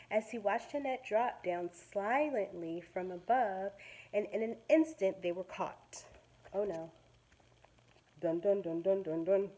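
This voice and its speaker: Slow-paced woman reading a storybook to children. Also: medium pitch, rough recording. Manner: expressive, dramatic, stops to explain words simply. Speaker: second-grade teacher reading a storybook aloud. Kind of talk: storytime read-aloud with asides to children.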